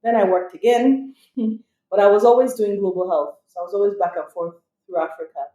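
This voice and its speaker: A woman's voice: mid-range voice, a little fast, warm tone. Woman speaking in an echoey room. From Nigeria. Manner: conversational, with frequent light laughs and fillers. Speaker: emergency physician, guest. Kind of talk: casual interview answers.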